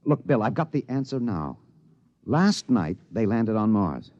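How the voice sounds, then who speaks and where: A man playing an excited, obsessed radio reporter with an American accent, stammering and emphatic in 1950s radio drama style. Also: lowish voice, unhurried, dry recording. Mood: bitter, wistful. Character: excited radio newsman, agitated, obsessed with breaking a cover-up. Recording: dull vintage radio recording.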